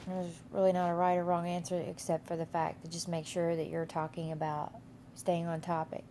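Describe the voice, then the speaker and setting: A woman teacher with a cold talks through her course materials in a low, flat, gravelly voice with a stuffy nose. Her tone is dark and mellow, and she rambles with plenty of ums and restarts. A faint hiss of noise sits under the recording. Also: normal speed. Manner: rambling with many fillers and restarts. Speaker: instructor. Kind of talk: casual screen-share course walkthrough.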